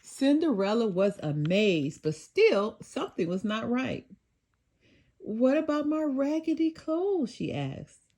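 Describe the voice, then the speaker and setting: An older woman reading a children's storybook aloud, expressive and sing-song, with occasional small slips. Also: mid-range voice. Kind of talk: children's read-aloud narration.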